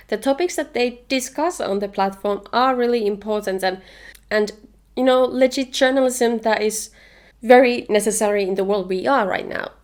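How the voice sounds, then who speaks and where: A woman's voice: a little high, a little mellow. A young woman from Finland whose first language is Finnish, chatting casually and eagerly about books on her vlog. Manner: enthusiastic, opinionated, chatty. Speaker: book vlogger. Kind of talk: casual book review chat.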